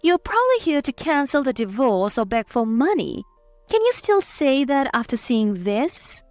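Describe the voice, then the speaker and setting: A woman's voice: high voice, very animated, normal speed, no echo, soft background music, voice pushed up. A woman voicing a confident, protective aunt who speaks in a pointed, composed way in a dramatized story. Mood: composed, accusing. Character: confident, cutting, protective aunt exposing cheaters. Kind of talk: scripted story dialogue.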